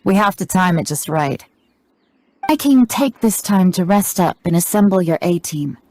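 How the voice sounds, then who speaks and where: An actress playing a cool, calculating female operative in a video game, speaking in a measured, confident way. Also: on the low side, animated, a little mellow. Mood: cool, cautious. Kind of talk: scripted video game dialogue. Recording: game music under the voice.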